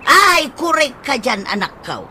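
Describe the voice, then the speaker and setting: A woman plays a fretful, plain-spoken middle-aged mother in an Ilocano-accented radio drama. She talks slowly with a swooping, lively pitch. There is clear background noise with birds. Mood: nagging. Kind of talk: radio comedy drama acting.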